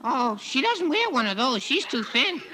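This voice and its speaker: Dopey teen boy sidekick, very high bright voice, literal-minded, vintage radio comedy, faint hiss. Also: very animated, normal speed, heavily acted, poor audio. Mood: puzzled, whiny. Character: dim, deadpan, contrary teenage boy sidekick. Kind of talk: 1940s radio sitcom acting.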